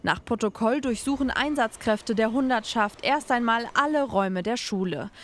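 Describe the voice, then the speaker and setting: A woman narrating a local news report in a measured, factual way. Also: on the high side, a bit bright.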